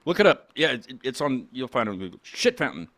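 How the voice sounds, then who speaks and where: Lively rambling male host. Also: mid-range voice, fast talker. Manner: rambling, stammering restarts, laughs often. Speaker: podcast host. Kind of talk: casual group chat with band guests.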